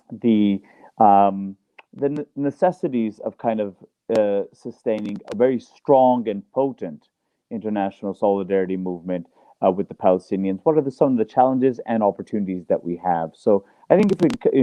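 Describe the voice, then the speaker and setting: Male interviewer, low voice, halting and filler-heavy, dry lo-fi sound. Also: no room sound. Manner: halting, many fillers and restarts. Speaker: interview host. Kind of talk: interview questions. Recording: dry, muffled lo-fi audio.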